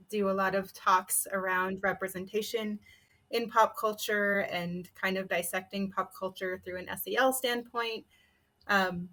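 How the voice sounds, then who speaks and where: Bright, chatty woman from New Mexico. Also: mid-range voice. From Taos, New Mexico, United States. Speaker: panelist, teaching artist. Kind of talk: casual self-introduction on a panel.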